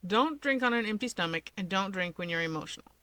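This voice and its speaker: A woman's voice: mid-range voice, lively intonation, quick pace, a bit bright, no echo, natural voice. A woman voicing an easygoing young man who explains things in a breezy, dismissive and cheerfully nonchalant way.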